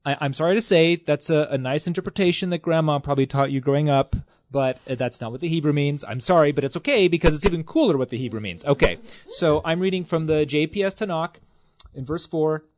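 A man's voice: fairly high pitch, fast. A man in a casual scripture study discussion, talking with enthusiasm, rambling, with lots of fillers and restarts.

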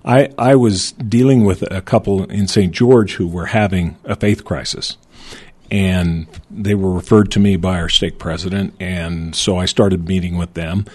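Man, host, panel discussion, low voice, conversational storyteller. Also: fairly even intonation, a little slow, a little mellow, breathy edge.

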